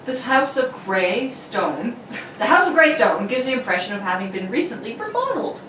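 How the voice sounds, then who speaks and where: A woman's voice: on the high side, very animated, normal speed, a bit bright. A woman narrating an amateur radio drama from a script, playfully and dramatically. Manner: playful, prone to giggling and ad-libs. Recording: roomy, distant mic with faint noise.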